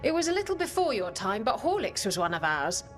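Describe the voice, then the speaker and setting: An actress playing a brash, hearty adventurer in a video game cutscene, blunt and theatrical. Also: female voice, medium pitch, sing-song, fairly quick, faint music behind, natural voice. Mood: boastful storytelling. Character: brash, hearty woman adventurer telling tall tales.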